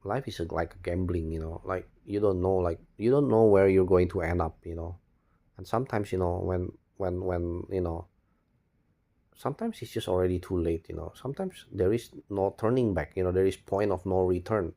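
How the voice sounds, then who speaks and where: A man who is a non-native English speaker, giving a spontaneous, rambling monologue as a video blogger, halting and often repeating his words. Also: deep voice, warm tone. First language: not English. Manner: repetitive, halting, restarts words and phrases often.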